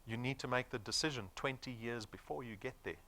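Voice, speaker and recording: A man's voice: medium pitch, fairly quick, pushed and bright. A man of forty with a South African accent, giving a motivational business presentation in an emphatic, persuasive manner with rhetorical questions. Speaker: sales presenter.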